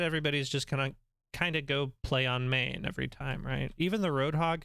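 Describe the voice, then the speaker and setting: A male coach talks players through a game replay, his voice at an ordinary middle pitch and a little nasal. He explains calmly and keeps filling pauses with ums. The sound is dry and close, with no room around it. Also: clear, resonant tone, no echo. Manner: conversational and instructive, many ums. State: slightly nasal, voice going.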